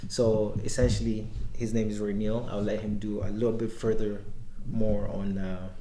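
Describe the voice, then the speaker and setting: A man hosting a casual conversational interview, haltingly repeating words and rephrasing his questions. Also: fairly low pitch, flat delivery, mellow. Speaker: podcast host. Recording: echoey room, faint background noise.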